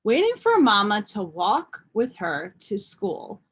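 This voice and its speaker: A woman reading a children's storybook aloud in expressive narration. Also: medium pitch, takes it slowly, husky. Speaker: storybook reader.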